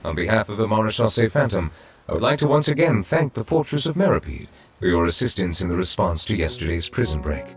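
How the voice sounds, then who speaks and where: A man's voice: on the low side, sing-song, fast talker, slight background noise. An actor playing an ancient, dignified male chief judge in a video game, formal, stately and reserved. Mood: courteous. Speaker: game character voice. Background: faint background music.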